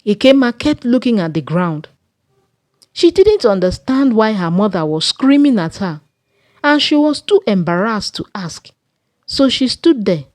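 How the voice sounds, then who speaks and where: A woman with a Nigerian accent narrating a scripted folktale in a measured storytelling way. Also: medium pitch, sing-song, darkish tone, no room sound.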